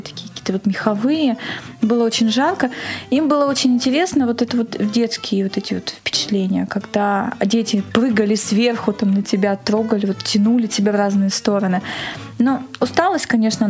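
A woman giving a casual, animated account in an interview as an event organiser, telling it in short repeated phrases. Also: a little high.

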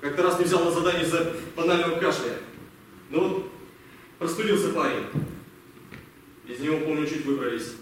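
A man acting stern wartime captain, higher-pitched male voice, clipped commands, deliberate pauses, distant echoey stage recording. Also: natural voice. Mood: grave, reproachful. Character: stern male army captain, gruff but fatherly wartime scout commander. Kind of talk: amateur stage radio play. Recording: distant echoey hall, faint noise.

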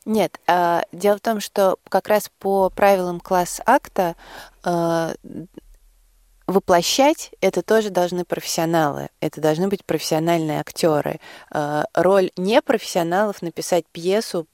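A woman answering as a radio interview guest, in thoughtful phrases broken by hesitant pauses. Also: on the low side, a little mellow, dry recording, clean studio sound. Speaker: interview guest, drama project representative. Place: radio studio.

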